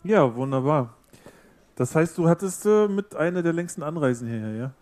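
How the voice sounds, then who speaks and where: A man's voice: on the high side, lively intonation, moderate pace, darkish tone. A man co-hosting a showcase, casually introducing the next team. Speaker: co-host. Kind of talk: moderating a project showcase.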